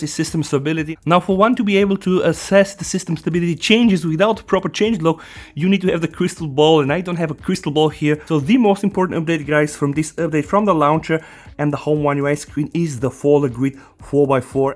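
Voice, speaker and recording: A man with a Bulgarian accent, a native Bulgarian speaker, hosting a casual tech review and talking fast, often checking in with "guys" and "right?". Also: a little high. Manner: chatty, keeps saying "guys" and "right?"; talks fast. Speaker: tech channel host.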